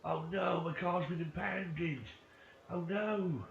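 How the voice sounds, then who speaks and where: A man's voice: high-pitched, steady tone, slow, vocal fry. A man chatting casually and jokily while playing an online role-play game, swearing freely. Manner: jokey, sweary, repeats catchphrases. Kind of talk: casual gaming chat with banter. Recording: muffled, faintly noisy, echoey room.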